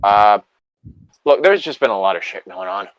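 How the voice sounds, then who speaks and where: A man's voice: lowish voice, animated, moderate pace, dry recording, muffled recording. A man chatting casually with friends on a voice call, rambling and swearing freely as he goes.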